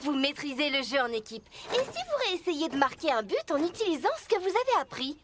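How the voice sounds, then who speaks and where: A woman's voice: high voice, very animated, brisk. A woman voicing an upbeat, teasing and encouraging truck coach in a children's cartoon dub, peppy and instructive. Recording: loud music behind the voice. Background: loud music.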